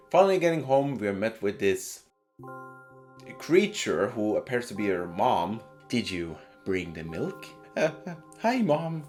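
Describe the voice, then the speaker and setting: A man's voice: fairly low pitch, darkish tone. A man giving a casual, narrated video game review in informal, slangy language.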